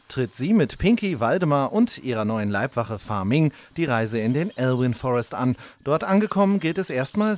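A man's voice: mid-range voice, darkish tone. A man giving theatrical scripted narration as the narrator of a parody audio drama.

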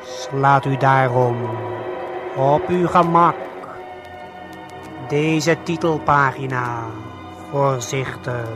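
A man narrating an audio play theatrically, addressing the listener directly, moving between intimate hush and grand showman delivery. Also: medium pitch, very slow. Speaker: narrator and host of an audio story. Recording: 1970s vinyl audio play with music.

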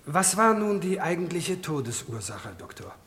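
An actor playing a formal, probing, insistent prosecutor questioning a witness in a German radio crime drama. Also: male voice, high-pitched, very animated, moderate pace, a bit bright, slight background noise. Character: courtroom prosecutor, formal, pointed, insistent man.

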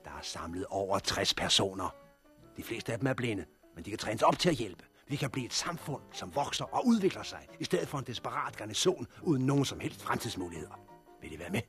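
An actor playing a blunt, forceful, foul-mouthed leader of disaster survivors in a 1960s Danish radio drama, with a gruff, persuasive way of speaking. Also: male voice, lowish voice, big pitch swings, soft background music. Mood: urgent, persuasive.

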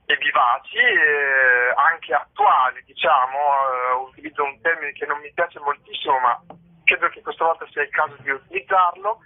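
A theatre man speaks as an interview guest over a tinny telephone line. His voice sits fairly high and swings in an animated way, while he thinks aloud and hunts for the right word. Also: normal speed. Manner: reflective, hesitant, searching for words. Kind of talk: spontaneous interview talk, explaining work. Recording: narrow tinny phone line.

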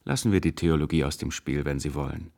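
An actor playing a severe, dogmatic intellectual zealot, a professor who lectures in cutting absolutes, in a radio drama. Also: male voice, very deep voice, fast, creaky, darkish tone. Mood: stern, dismissive. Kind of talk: German radio drama acting.

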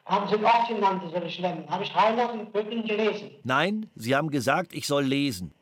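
A man's voice: high-pitched, lively intonation, fast, echoey room, rough recording. A man being questioned by a prosecutor, agitated and defiant, repeating himself over and over. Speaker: suspect under interrogation. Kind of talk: police interrogation tape.

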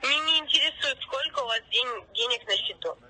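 A woman on a thin phone line speaks in a sharp, very bright tone. She runs through a formal call-center script as a fake bank officer, pushy and insistent. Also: high voice, brisk, husky.

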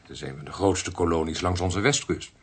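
A man's voice: low voice, brisk, slightly rough. A man playing a helpful, informative Dutch police detective in a radio drama. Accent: Dutch (Netherlands).

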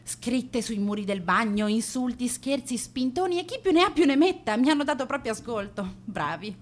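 A woman's voice: fairly high pitch, sing-song, moderate pace. An actress playing a proud, manipulative teenage schoolgirl in a radio play monologue, sardonic, self-justifying and defiant. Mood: sardonic, smug.

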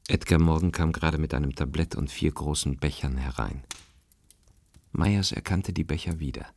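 A man's voice: rumbling low, moderate pace, darkish tone, no room sound. A man narrating a literary radio play as a detached, observant storyteller, with guitar music behind him. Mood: observant, measured. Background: plucked guitar music.

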